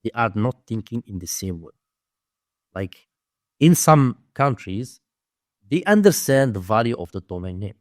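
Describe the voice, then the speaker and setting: Low-voiced Moroccan man, halting English. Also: big pitch swings, dry recording, clean studio sound. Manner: halting, searching for words in non-native English. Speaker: interview guest, domain investor and teacher. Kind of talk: conversational interview answers.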